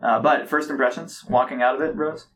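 A young man hosting a casual podcast chat, enthusiastic and somewhat halting in his delivery. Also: mid-range voice, steady tone, fairly bright tone. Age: young adult. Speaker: podcast host. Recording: noticeable background noise.

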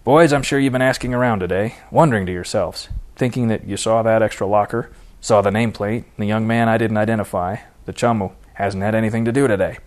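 A man's voice: on the low side, fairly quick, natural voice. A man voicing an angry, sarcastic and stubborn baseball team manager in an audiobook, mocking as he barks orders. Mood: sarcastic announcing. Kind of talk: audiobook character dialogue.

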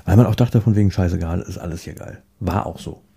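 A man chatting casually in a rambling, halting way, often repeating words as he searches for the next one. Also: low-pitched, gravelly, dark tone, slightly rough.

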